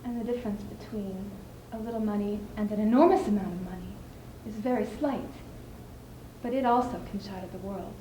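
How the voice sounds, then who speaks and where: A young woman performing a stage monologue as a spirited, scheming widow, speaking emphatically with deliberate theatrical pauses. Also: medium pitch, steady tone, dark tone, close to an everyday voice. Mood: earnest, emphatic. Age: young adult. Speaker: student speech team performer. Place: indoor school showcase stage. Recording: echoey room, clearly audible background noise.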